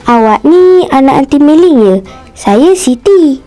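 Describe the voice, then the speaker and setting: A woman voicing a sensible, polite teenage big sister in a cartoon, with a gently lecturing manner. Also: high-pitched, lively intonation, a little slow, soft background music. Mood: friendly. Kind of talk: cartoon voice acting.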